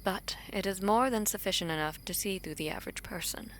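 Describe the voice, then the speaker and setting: A woman playing a guarded, proud princess in a fantasy audio drama, speaking formally and deliberately with breathy phrase endings. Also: medium pitch, a bit bright, a little hiss or hum, lightly acted. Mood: guarded, reflective. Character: guarded, regal princess, a proud and protective sister.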